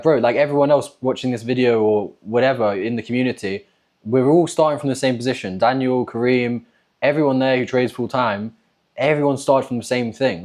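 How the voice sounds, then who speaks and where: A man's voice: medium pitch, darkish tone. A young man hosting a casual interview, talking in a slangy, encouraging way like a mentor among friends. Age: young adult. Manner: slangy, encouraging, often says "bro" and "like".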